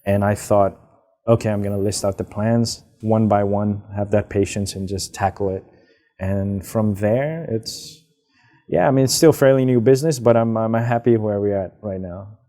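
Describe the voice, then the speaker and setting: Low mellow-voiced man, relaxed and rambling. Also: normal speed. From Hong Kong. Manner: relaxed, rambling, frequent fillers. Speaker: guest, business founder. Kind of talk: casual interview answers. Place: outdoors, karaoke nearby.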